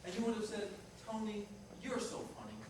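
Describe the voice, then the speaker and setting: Guy acting a blunt restless ex-fiance in a play reading, high voice, lots of background noise. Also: big pitch swings, brisk. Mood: bitter, insistent. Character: restless, blunt ex-fiancé from an Italian-American family. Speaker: actor in a staged play reading. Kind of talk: staged reader's theater dialogue. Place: live staged reading in a reverberant room. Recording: echoey, noisy room, distant mic.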